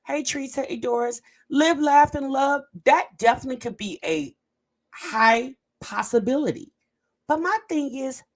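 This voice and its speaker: A woman's voice: sits high, bright, forceful tone. A woman hosting a casual reaction video, talking straight to her viewers and stretching words out for emphasis while she reads the live chat. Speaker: host of a reaction commentary channel.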